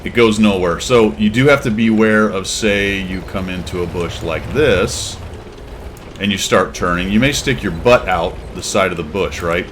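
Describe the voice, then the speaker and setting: A man hosting a casual gameplay tutorial, explaining in a relaxed, chatty way with asides and fillers. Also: sits low, normal speed, slight background noise. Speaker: gaming channel host giving a tip.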